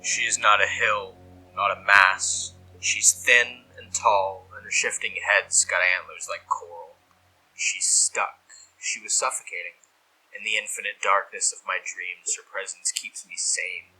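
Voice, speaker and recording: A man playing an obsessed, reverent dreamer logging eldritch nightmares in a brooding, hushed horror monologue that slips into whispers. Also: deep voice, fairly even intonation, a little slow, full character voice, rough recording. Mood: hushed reverence. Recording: music behind the voice.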